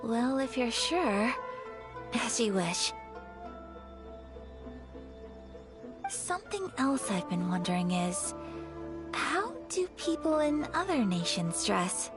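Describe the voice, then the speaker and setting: A refined young lady of noble birth for a video game. The voice is high, with formal, courteous and earnest delivery, a little reserved. Game music plays underneath. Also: very animated, slow pace. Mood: gentle, wistful.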